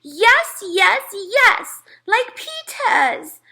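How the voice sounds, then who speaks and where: A high, piercing little boy, acted out by a woman reading a storybook, stubborn and prone to tantrums. He hollers short demands over and over, loud and bright, stretching his words. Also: very animated, drawn-out words, full character voice. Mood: insistent. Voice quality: shrill, hollering.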